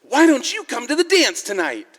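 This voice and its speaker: A man acts a carefree teenage lad in a vintage radio play. He is breezy and excitable, very high and leaping in pitch, with the hall ringing around him. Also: moderate pace, exaggerated voice. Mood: breezy excitement. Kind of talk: 1940s radio drama acting. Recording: reverberant church hall, live stage.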